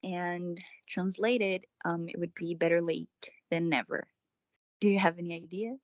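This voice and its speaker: A woman's voice: fairly low pitch, steady tone, unhurried, no room sound. A young Brazilian woman on a video call, speaking hesitantly with many fillers. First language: Portuguese. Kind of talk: casual conversation on a video call.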